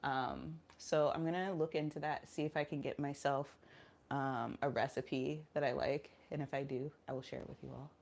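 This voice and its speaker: A woman chatting casually in a vlog, low on energy and nasal from a cold, rambling with lots of fillers and talking through squats. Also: steady tone, moderate pace, creaky, a little mellow, a little hiss or hum. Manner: talking while doing squats. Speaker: vlogger. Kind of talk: casual chat vlog, streaming live.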